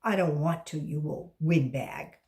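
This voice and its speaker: A woman's voice: sits low, unhurried, warm tone. A woman voicing a nasty, defiant new schoolboy who sneers and insults in a children's storybook. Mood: sneering.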